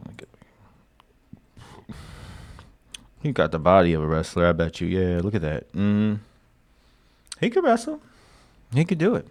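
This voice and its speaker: A man talking in a loose, joking way with slangy asides, hosting his own commentary. His voice sits a little low. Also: darkish tone. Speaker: commentary host. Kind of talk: casual political commentary.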